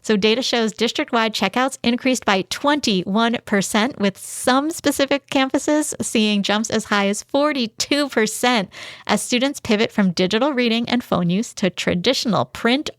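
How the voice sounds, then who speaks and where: A woman's voice: on the high side, moderate pace, dry recording, clean studio sound. A woman co-hosting a podcast, summarizing and commenting in an articulate, explanatory, conversational way. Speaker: podcast co-host.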